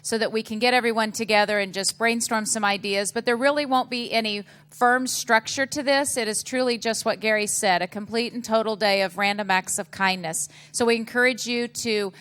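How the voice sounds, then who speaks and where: A woman's voice: on the high side, fairly even intonation, brisk, hardly any pauses, fairly bright tone, clear, resonant tone. A woman hosting a community breakfast, making upbeat announcements with frequent ums. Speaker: event host. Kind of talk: event announcements. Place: community breakfast meeting room.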